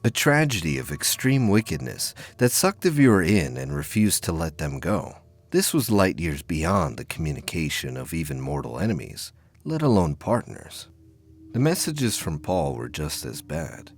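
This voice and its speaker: Deep-voiced, animated male storyteller. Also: normal speed. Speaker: narrator of an audio drama. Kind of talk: dramatic scripted narration of science fiction prose.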